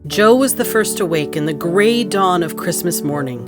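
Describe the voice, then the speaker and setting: An actress narrating an audio play as a calm storyteller with a composed, literary delivery. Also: female voice, lowish voice, normal speed, music in the background, close to an everyday voice.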